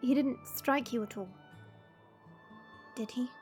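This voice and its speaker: A woman playing a gentle dragon princess in an audio roleplay, speaking in a measured, formal and tender way. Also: high voice, faint music behind. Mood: gentle, remorseful. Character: gentle dragon princess, proud gardener, softly regretful.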